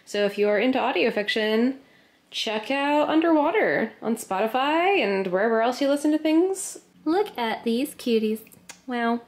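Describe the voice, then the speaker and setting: A woman around her thirties chatting casually to camera in a playful, expressive way, stretching words for emphasis. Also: on the high side, moderate pace. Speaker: vlogger. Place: apartment living room. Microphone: camera at arm's length.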